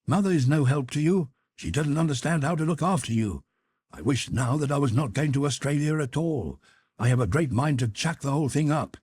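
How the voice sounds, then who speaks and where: A man's voice: fairly high pitch, fairly quick, a little mellow, husky, pitched above the performer's own voice. A man doing a sullen, jealous teenage boy.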